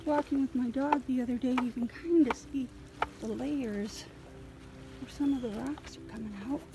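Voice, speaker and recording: A woman's voice: high voice, fairly even intonation, moderate pace, music in the background, low-quality recording. A woman vlogging outdoors on a lakeshore beach, musing aloud to her viewers as she looks for rocks on a handheld phone. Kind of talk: casual narration while rockhounding.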